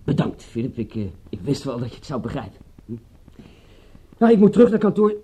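A man's voice: mid-range voice, big pitch swings, fairly quick, warm tone, slight background noise, close to an everyday voice. An actor playing an obliging, money-pressed family man who works as an informant in a radio drama, with a hesitant, apologetic, roundabout manner. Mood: sheepish, apologetic.

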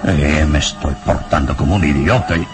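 An actor playing an anxious elderly professor in an old melodramatic radio serial, talking to himself in gasps and exclamations. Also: male voice, very deep voice, over music. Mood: nervous, agitated. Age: older. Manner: gasping, halting self-talk with exclamations.

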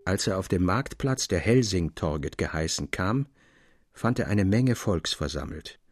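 A man's voice: fairly low pitch, a little mellow, dry recording, clean studio sound. A man narrating a classic literary tale expressively, as an audiobook reader. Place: dry studio.

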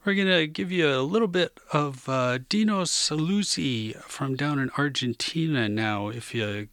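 A man hosting a radio music show, chatting casually and back-announcing tracks in a loose, halting, informal way. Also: a little high, animated, a bit bright, no room sound. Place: radio studio.

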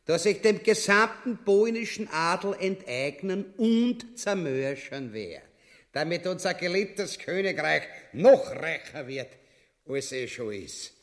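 A man's voice: high voice, lively intonation, takes it slowly, a bit bright, exaggerated voice. A man with an Austrian-Bavarian accent playing a crude, greedy, bullying tyrant king in a grotesque radio play, pompous and coarsely abusive. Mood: pompous, bloodthirsty. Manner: pompous proclamations sliding into coarse insults. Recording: long hall-like reverberation.

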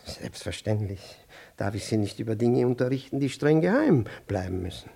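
An actor playing a pompous, scatterbrained, middle-aged senior ministry official in a radio play, stammering and losing his thread, with a husky, breathy voice. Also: medium pitch, mellow, full character voice. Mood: secretive, grave. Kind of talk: 1960s German radio play acting.

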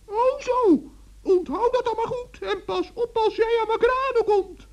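A performer voicing a small, kindly, earnest forest gnome with a creaky voice in a children's radio play. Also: extremely high pitch, unhurried. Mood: earnest, scolding. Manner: earnest, admonishing, polite.

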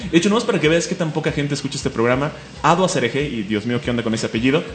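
A man's voice: medium pitch, lively intonation, echoey room, soft background music. A man with a Mexican accent hosting a comic radio show, joking and enthusiastic. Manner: fast, joking, enthusiastic, laughs often. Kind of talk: casual radio chat.

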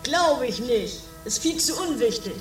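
A woman's voice: fairly high pitch, lively intonation, unhurried. A woman playing a grumpy, dismissive oddball with an exaggerated drawling manner in an amateur comedy radio play. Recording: roomy distant 1980s home recording, music behind.

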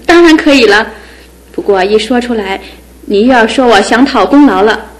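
An actress playing a lively young woman, the groom's younger sister, speaking in a bright, teasing way. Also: a little high, normal speed, close to an everyday voice, low-quality recording. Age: young adult. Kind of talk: 1970s radio drama acting.

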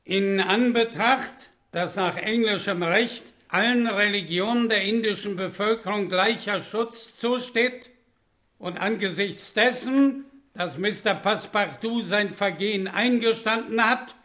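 An actor in a German radio play playing a stern British colonial judge, formal, officious and deliberate. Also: male voice, sits high, sharp tone.

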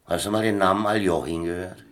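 An actor playing a boastful, easygoing captain in a German radio play, talking like a leisurely, self-satisfied storyteller. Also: male voice, low-pitched, steady tone, quick pace, lightly acted. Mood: reminiscing. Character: boastful, easygoing old sea captain spinning tales over chess.

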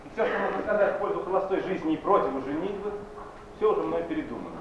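A man's voice: a little high, lively intonation, fast, slight background noise, close to an everyday voice. An actor playing a sober, resigned poet in his thirties about to marry, reciting in a theatrical stage style. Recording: echoey distant muffled 1970s theatre recording.

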